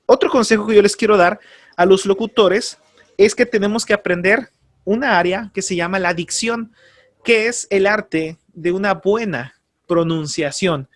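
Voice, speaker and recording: A man teaching a lesson on radio announcing, speaking earnestly and calmly, giving advice straight to his listeners and repeating key terms. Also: sits high, normal speed. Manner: earnest, advisory, addresses listeners directly. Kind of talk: spoken course lesson.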